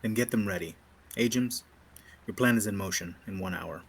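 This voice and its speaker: A man plays a stern rebel major with a low, husky voice. He sounds weary and used to command, in a sci-fi drama read. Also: moderate pace. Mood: resigned, commanding.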